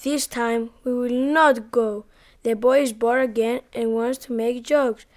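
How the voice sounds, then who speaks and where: A woman's voice: on the high side, steady tone, a little slow, natural voice. A woman voicing the villagers, speaking firmly and with doubt. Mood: dismissive. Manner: firm, doubting. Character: villagers, adult townsfolk.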